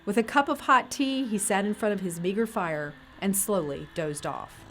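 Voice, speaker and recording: A woman's voice: medium pitch, normal speed. Woman narrator of an audio drama, music underneath. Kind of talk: scripted storytelling narration for audio theater.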